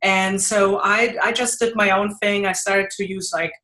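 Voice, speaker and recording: A woman's voice: mid-range voice, steady tone, measured pace, pushed and bright, faint music behind, rough recording. A woman in her forties from Germany with a German accent, chatting as a podcast guest, laughing often and a little nervous. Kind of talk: casual interview chat.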